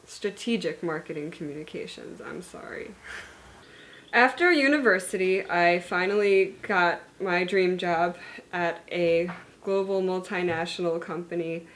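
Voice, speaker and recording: A woman's voice: fairly low pitch, unhurried, a bit bright, slight background noise. A woman in her thirties, born in Germany and raised in the United States and Hungary, talking candidly to camera about her own story, with fillers and self-corrections. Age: 30s. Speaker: vlogger sharing her illness story. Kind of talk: personal talk to camera.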